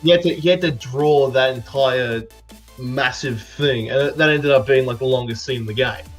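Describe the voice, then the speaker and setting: A man's voice: medium pitch, moderate pace, over music. A man giving casual developer commentary, rambling with lots of restarts. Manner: rambling, full of fillers and restarts. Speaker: mod developer.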